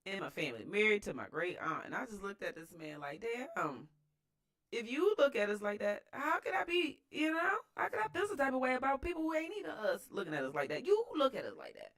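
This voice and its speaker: Higher-pitched American man, emphatic and slangy. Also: big pitch swings, a little fast, fairly bright tone. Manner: emphatic, conversational, slangy, repeats phrases for emphasis. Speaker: host of a read-aloud channel. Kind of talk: casual opinionated commentary during a read-aloud.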